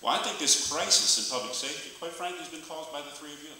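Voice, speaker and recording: A man's voice: a little high, quick pace, sharp tone. A man in his sixties making a blunt, emphatic public comment to county officials at a meeting, speaking into a hand-held mic. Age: 60s. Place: county meeting room, roomy. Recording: echoey meeting room, distant mic, faint hiss.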